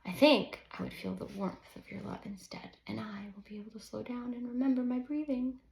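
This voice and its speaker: A woman voicing a gentle but overwhelmed mother in a storybook, patiently and tenderly explaining things to young children with soft emphasis. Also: on the high side, steady tone, brisk, gravelly. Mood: tender, emphatic. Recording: roomy, echoing sound.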